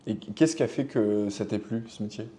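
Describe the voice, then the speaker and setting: A man's voice: fairly low pitch, moderate pace, mellow. A man hosting a podcast interview in a casual, conversational style, feeling his way through informal, hesitant phrasing. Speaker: podcast host.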